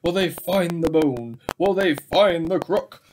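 A young adult man reading a children's story aloud in an expressive, unhurried way, recorded at home in a small room. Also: on the high side. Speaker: storybook reader. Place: small indoor room with bookshelves. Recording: clearly noisy home webcam recording.

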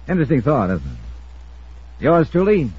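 A tough, sardonic investigator in a vintage radio mystery. His husky voice moves up and down with wry, probing emphasis, on a dull, muffled old recording. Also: fairly high pitch, wildly swooping pitch, unhurried, heavily acted. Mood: wry narration. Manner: wry, pressing. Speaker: star and narrator of the show. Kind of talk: 1950s radio detective drama acting.

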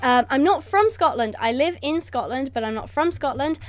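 A woman's voice: sits high, animated, normal speed. A young English woman, a YouTuber, chatting casually to camera as she answers viewer comments, with frequent ums and laughs. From England. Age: young adult. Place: indoors in front of a green screen. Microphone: facing camera at close range.

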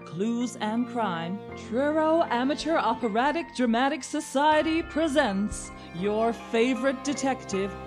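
A woman's voice: on the high side, animated, unhurried, hardly any pauses, music in the background. A woman announcing a radio drama in a formal, grandiose old-time scripted style.

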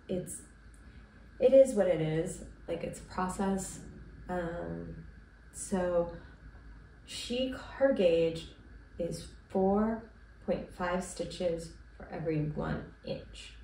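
A woman's voice: on the low side, slight background noise. A woman in her thirties who is sick and congested, chatting casually and rambling with many ums as she hosts her knitting podcast from a small room at home. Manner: relaxed and rambling, with frequent ums and likes. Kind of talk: casual chat to camera. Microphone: camera at a distance. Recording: roomy, distant camera sound.

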